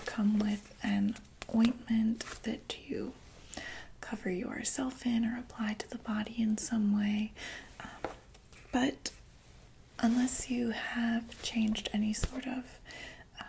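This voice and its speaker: A woman playing a reassuring female doctor for monsters in a whispered ASMR roleplay, explaining gently and hesitantly in a breathy voice. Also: a little high, slight background noise, rough recording.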